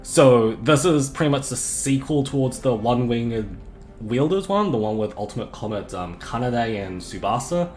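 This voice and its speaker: A man's voice: medium pitch, moderate pace. A man with a sore throat rambles through a casual live game stream, often correcting himself mid-sentence. His voice is plain and somewhat droning, and it echoes around the room with faint music playing behind him. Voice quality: monotonous, droning. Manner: rambling, self-correcting, chatty.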